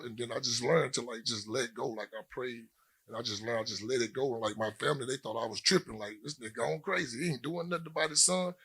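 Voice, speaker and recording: Guy w a husky voice telling his story slow and plain on a panel, lots of ums. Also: medium pitch, a bit bright. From Louisiana. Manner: plain-spoken, halting with many "um" pauses. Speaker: panel guest, former prisoner. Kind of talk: personal testimony on a panel.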